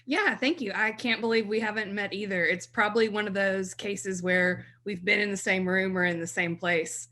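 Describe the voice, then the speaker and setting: Bright, chatty woman in her thirties. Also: medium pitch, fairly even intonation, moderate pace, hardly any pauses. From South Mississippi. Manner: chatty, laughs easily, restarts. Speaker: blog writer, guest. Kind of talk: podcast interview.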